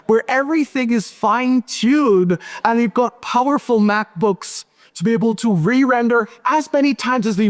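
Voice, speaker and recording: A man in his thirties giving a lively, joking tech conference talk from a large hall stage. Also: high voice. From Pakistan and Switzerland. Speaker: conference speaker. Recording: hall reverb.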